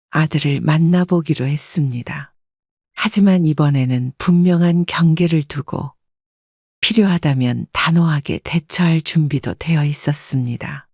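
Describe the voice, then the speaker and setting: A woman in her sixties narrating her own story in the first person, calm and reflective. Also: deep voice, normal speed, no room sound, studio quality.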